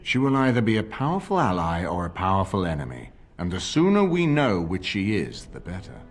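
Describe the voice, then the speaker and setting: Deep, expressive noble counsellor. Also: male voice, a little fast. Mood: grave, advising. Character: shrewd, courteous male noble counsellor. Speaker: game voice actor. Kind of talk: fantasy RPG dialogue. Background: game music.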